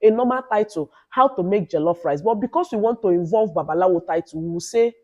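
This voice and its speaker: A Nigerian woman with a loud voice and a Nigerian accent gives informal advice as a vlogger, in an emphatic, instructive way with repeated phrases. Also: on the low side, lively intonation, fast talker, highs cut off. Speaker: YouTuber giving advice to new creators. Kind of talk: informal advice vlog with Nigerian Pidgin asides.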